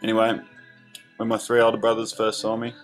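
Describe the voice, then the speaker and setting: A young Australian man casually telling the story of his childhood, rambling with lots of fillers over soft background music. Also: fairly low pitch, monotone, a little fast. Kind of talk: casual narration over drawings.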